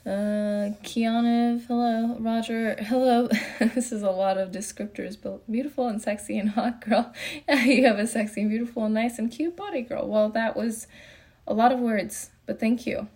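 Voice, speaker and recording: A young woman from Japan talking casually on a live stream. Her voice has a noticeable vocal fry. She chats easily and answers viewers as she goes. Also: a little high, moderate pace. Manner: chatty and relaxed, with frequent fillers and laughs. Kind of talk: casual live Q&A chat, reading comments.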